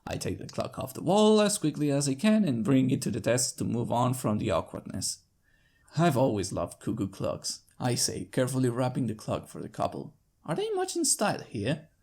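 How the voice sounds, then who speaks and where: A man with a creaky voice narrating a first-person story in an expressive, theatrical way. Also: mid-range voice, moderate pace. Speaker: fan fiction narrator.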